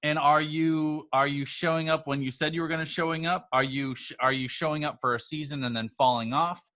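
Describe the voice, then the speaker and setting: A man with a bright, forceful voice gives a motivational livestream talk as a business coach. He speaks informally and straight to his listeners, repeating phrases to drive points home. The sound is dry and close. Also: a little high, steady tone, a little fast, no room sound. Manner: emphatic, repeats phrases for emphasis; informal, addresses listeners directly.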